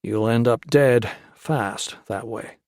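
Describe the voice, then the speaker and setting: A man's voice: medium pitch, takes it slowly, darkish tone, no room sound, studio quality. A man voicing a shrewd, brash soldier-trader who talks in a blunt, matter-of-fact way.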